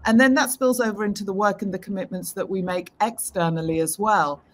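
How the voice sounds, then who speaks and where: A woman's voice: mid-range voice, fairly even intonation, a little fast. British woman, 50s, fluent upbeat executive guest, video call audio. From United Kingdom. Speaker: interview guest, tech executive. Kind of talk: remote interview answers. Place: home room, indoors.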